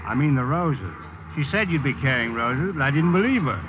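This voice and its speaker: A man's voice: mid-range voice, lively intonation, fairly quick, lightly acted. A man acting in a 1950s radio drama as a mild-mannered, rueful romantic rival, understated and a little hesitant. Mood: matter-of-fact. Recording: muffled, noisy 1950s radio recording.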